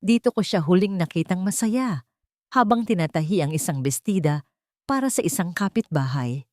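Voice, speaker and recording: A woman reading a scripted first-person dramatic story in a measured, literary way. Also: on the low side, lively intonation, darkish tone, dry recording, studio quality. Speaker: narrator of a fictional love drama.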